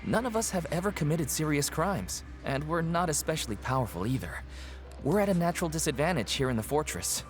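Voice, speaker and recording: A man's voice: on the high side, expressive, brisk, natural voice. An actor playing a friendly prisoner who earnestly explains his mutual aid group. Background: game music.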